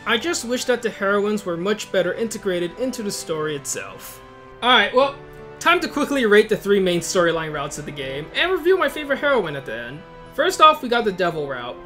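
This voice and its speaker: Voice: mid-range voice, fairly bright tone. A video host reading a scripted but casual review, informal and opinionated, with wry asides.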